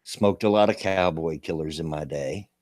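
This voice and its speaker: A man in his late fifties with a gravelly voice, hosting a casual livestream talk show and reading local news in a folksy, rambling way. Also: rumbling low, moderate pace, a little mellow, no room sound, studio quality. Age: 50s. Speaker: show host.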